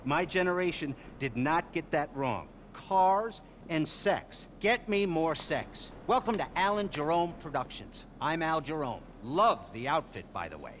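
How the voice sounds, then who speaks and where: A manic older male producer from a video game, blustering and vulgar. His voice sits high and jumps all over the place. He fires off ideas in scattered bursts. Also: animated, fairly bright tone, slight background noise. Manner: rapid-fire, scattered pitches, blustering.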